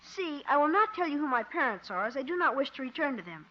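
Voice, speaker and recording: A woman's voice: high voice, very animated, quick pace. A woman voicing a precocious, insolent runaway boy of about twelve in a 1950s radio comedy, haughty and pedantic. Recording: dull restored 1950 radio recording.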